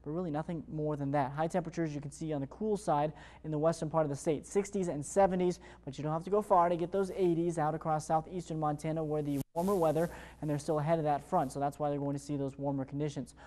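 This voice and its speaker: A man's voice: on the high side, steady tone, fast talker, few pauses, warm tone. A man with an American accent presenting a morning television weather forecast in a chatty, upbeat broadcast manner. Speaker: television morning show meteorologist.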